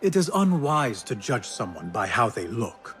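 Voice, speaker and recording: An actor playing a resolute, guarded assassin in a video game, speaking in measured, serious and formal phrases. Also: male voice, medium pitch, sing-song, fairly bright tone, faint music behind. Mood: polite, guarded. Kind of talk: dramatic game cutscene acting.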